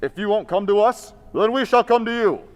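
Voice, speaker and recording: A man playing a bold, fearless investigator in an old-time radio drama, speaking deliberately and challengingly. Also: high voice, natural voice. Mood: resolute. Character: Lamont, fearless calm male investigator confronting a ghost. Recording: reverberant hall sound.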